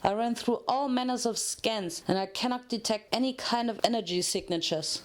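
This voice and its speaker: A woman's voice: a little high, normal speed, natural voice. Woman as a clinical mare scientist. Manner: matter-of-fact, clinical. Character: businesslike green mare scientist. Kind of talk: dramatic fanfiction reading of a cartoon.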